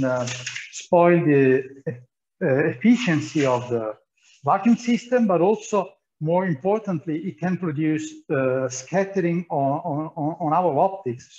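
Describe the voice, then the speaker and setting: Man giving a halting lab tour. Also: a little high, a little mellow, highs cut off, a little hiss or hum. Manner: halting, many fillers and repeated words. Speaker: tour guide at a physics lab. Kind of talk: guided tour explanation. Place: large indoor lab hall.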